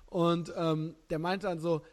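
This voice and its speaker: A German man in his thirties hosting a podcast, chatting casually and telling animated, slangy stories with frequent laughter. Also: on the high side, slow. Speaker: podcast host. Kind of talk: casual chat.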